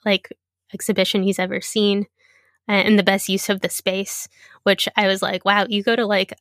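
A young adult woman being interviewed, talking in a halting, casual way with many fillers and restarts. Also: medium pitch, level tone, fairly bright tone, dry recording, clean studio sound.

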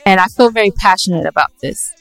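A woman co-hosting a casual podcast, speaking in an emphatic, opinionated way and quick to interject. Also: medium pitch, gravelly, soft background music, poor audio. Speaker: podcast co-host. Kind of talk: casual podcast debate with co-host.

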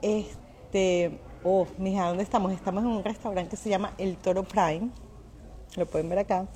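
A woman's voice: mid-range voice, steady tone, moderate pace, warm tone. A woman hosting a casual live interview, chatty and informal, repeating words for emphasis. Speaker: live show host. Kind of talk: casual livestream interview chat. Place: restaurant. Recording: faint background noise of a restaurant.

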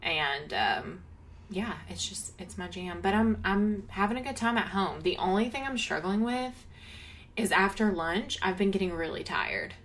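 A woman's voice: medium pitch, lively intonation, moderate pace, a bit bright. A young woman co-hosting a casual chat podcast who laughs often and fills her talk with "um" and "like". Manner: giggly, lots of fillers like "um" and "like". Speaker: podcast co-host.

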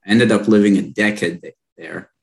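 Low gravelly Israeli-accented man. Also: brisk. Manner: relaxed storytelling, frequent fillers and trailing off. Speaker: company founder and guest. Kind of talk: casual interview chat.

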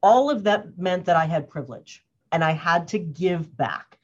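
A woman in her fifties from the United States speaks as an interview guest, earnest and thoughtful, often laughing and pausing on fillers. Her voice sits fairly low. The audio is dull and lo-fi. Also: expressive. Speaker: guest, organizational wellness consultant. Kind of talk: conversational interview answers. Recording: muffled lo-fi audio.

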